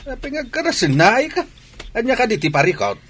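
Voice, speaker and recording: A man playing a gruff, short-tempered, scornful father in an Ilocano radio drama. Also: very high-pitched, big pitch swings, brisk, a bit bright, slight background noise, heavily acted. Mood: indignant.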